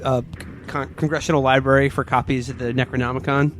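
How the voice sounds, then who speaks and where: A man's voice: mid-range voice, level tone, fast, a little hiss or hum. A man playing a tabletop role-playing game, improvising in a casual conversational way.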